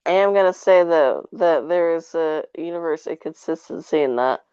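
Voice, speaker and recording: A woman's voice: sits low, normal speed, mellow, clear, resonant tone, no echo, muffled recording. A woman in a casual review chat, talking in a nerdy, hedging way as a podcast co-host. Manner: hedging, self-described nerdy enthusiast.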